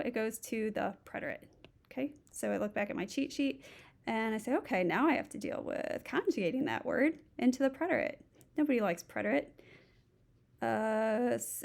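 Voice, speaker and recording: Gravelly-voiced woman teacher thinking aloud. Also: fairly high pitch, a little mellow. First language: English. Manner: thinks aloud, walks through steps. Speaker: Spanish instructor. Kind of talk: recorded tutorial lesson.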